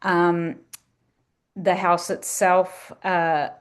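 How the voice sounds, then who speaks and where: A woman's voice: lowish voice, little pitch movement, drawn-out words, clear, resonant tone. A woman from New Zealand with a New Zealand accent, presenting as a museum director in a friendly manner with frequent ums, over a video call. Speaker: museum director giving a talk.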